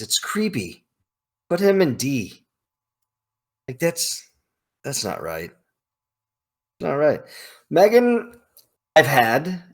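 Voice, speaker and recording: A man's voice: a little high, slightly rough. A man hosting a video, thinking aloud in casual, opinionated commentary with emphatic, hyperbolic slang. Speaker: video host ranking game characters.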